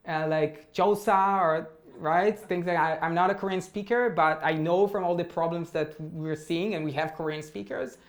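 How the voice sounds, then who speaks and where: Halting male tech speaker. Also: sits high. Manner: hesitant, many fillers and restarts. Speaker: tech talk presenter. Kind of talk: technical talk with audience Q&A.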